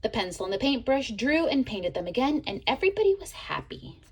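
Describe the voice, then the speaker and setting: A woman reading a children's picture book aloud in an expressive way, as a teacher would to young listeners. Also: fairly high pitch, noisy background. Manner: expressive, pauses to ask listeners questions. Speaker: storybook reader, teacher.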